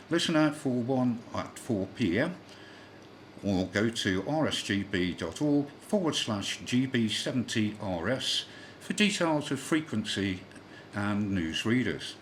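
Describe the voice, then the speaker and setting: A man's voice: lowish voice, fairly bright tone. A man reading a scripted amateur radio news bulletin as a newsreader, with the occasional slip. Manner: spells out callsigns in phonetic alphabet, occasional slips. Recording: clearly noisy shortwave radio broadcast.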